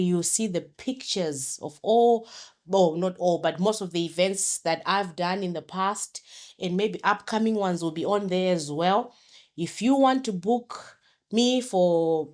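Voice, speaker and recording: A middle-aged woman from Zimbabwe hosting a solo podcast, chatty and promotional, often correcting herself as she talks. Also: mid-range voice. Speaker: podcast host.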